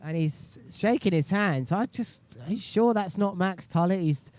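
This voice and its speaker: Smooth, higher-voiced male sports commentator. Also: normal speed, darkish tone. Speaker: live stream sports commentator. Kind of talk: live kiteboarding commentary, chatty and conversational. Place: indoor live stream booth by a beach.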